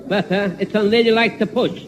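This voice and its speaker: A man playing a high-voiced bullying ugly sister. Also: steady tone, a little fast, lightly acted. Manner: threatening, mock-ladylike. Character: rough, bullying pantomime ugly sister, a woman. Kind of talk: 1960s radio pantomime comedy. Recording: noisy, reverberant radio stage with audience.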